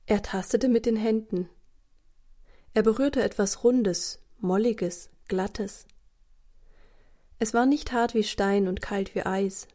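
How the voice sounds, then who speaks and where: Female storyteller for children's radio play. Warm, mellow, medium pitch, scripted narration. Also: slow pace, pauses often.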